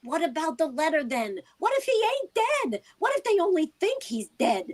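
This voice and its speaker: A woman's voice: high voice, huge pitch swings, moderate pace, a little mellow. A woman playing a stubborn, worried working-class wife in a vintage-style radio drama, speaking in an insistent, colloquial way.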